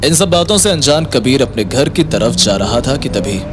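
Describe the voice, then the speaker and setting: A man's voice: medium pitch, lively intonation, normal speed, background noise. A man narrating a Hindi audio story in a dramatic scripted style. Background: music.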